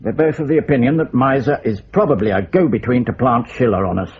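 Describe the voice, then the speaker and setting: An actor playing a stern, suspicious intelligence official in a radio drama. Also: male voice, medium pitch, fast talker, muffled recording, slight background noise, lightly acted.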